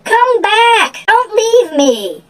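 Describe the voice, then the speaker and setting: A man's voice: very high-pitched, lively intonation, slow pace, a bit bright, voice pushed up, exaggerated voice. A man voicing a teasing, attention-seeking female ogress in a storybook reading. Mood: pleading.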